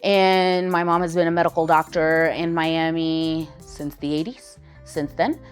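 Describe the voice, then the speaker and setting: A middle-aged woman speaks with an American accent and a fairly low voice, chatty and easygoing, filling her sentences with "um" and "like." Soft music plays faintly behind her. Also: measured pace. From Poland. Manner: relaxed, chatty, lots of fillers like "um" and "like". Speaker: interview guest, lawyer. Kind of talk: casual interview storytelling.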